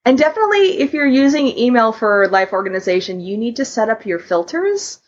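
A woman's voice: fairly high pitch, very animated, fairly quick, muffled recording. A woman co-hosting a podcast, chatting casually in an emphatic, informal and self-amused way. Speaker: podcast co-host. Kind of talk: casual chat.

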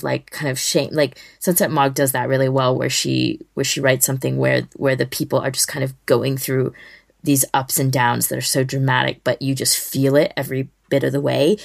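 A woman co-hosting a podcast, chatting casually and enthusiastically about recommendations in a halting, informal way. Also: extremely low pitch, gravelly, no echo, studio quality. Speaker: podcast co-host. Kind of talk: casual chat, giving recommendations.

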